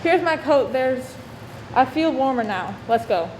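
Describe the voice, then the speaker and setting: A woman's voice: sits high, expressive, unhurried, a little mellow. A woman playing a distraught, despairing wife in a radio drama. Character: distraught, despairing young wife who has killed. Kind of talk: radio play acting. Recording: echoey room with faint noise.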